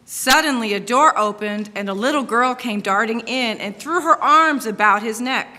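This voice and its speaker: Crisp-toned woman narrator in reverberant hall. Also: a little high, moderate pace, sharp tone. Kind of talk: scripted dramatic reading narration. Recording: reverberant hall, faint noise.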